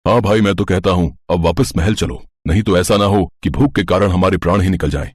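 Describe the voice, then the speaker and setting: Young prince brothers for a cartoon voiced by a man: earnest, determined, deep husky tone. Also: brisk, no echo, clean studio sound.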